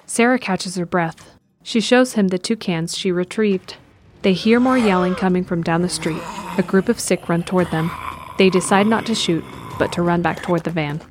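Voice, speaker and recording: A woman's voice: fairly low pitch, normal speed, noisy background. A woman reading scripted narration for an audio drama as its narrator. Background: music.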